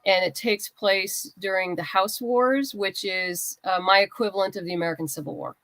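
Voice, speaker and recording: A woman's voice: mid-range voice, moderate pace, fairly bright tone, dry recording, poor audio. A woman speaking conversationally as an interview guest, explaining her own creative work as a writer.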